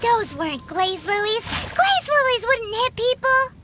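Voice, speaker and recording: Game fairy sidekick: very high childlike squeak, swooping, cheeky, drawn-out delivery, over music. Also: female voice, big pitch swings, heavy background noise, heavily acted. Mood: doubtful. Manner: dramatic, whiny, speaks of self in third person. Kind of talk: video game character voice acting.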